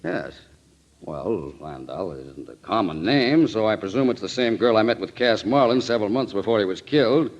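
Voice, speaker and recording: An actor playing a suave, smug crime boss with a pompous, bookish politeness in a 1940s radio drama. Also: male voice, fairly low pitch, animated, moderate pace. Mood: smug, evasive. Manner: bookish, pompous, genteel wording. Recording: muffled old radio recording.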